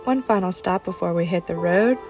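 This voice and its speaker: A woman's voice: lowish voice, fairly quick, music in the background. A woman talking casually as the host of a travel vlog.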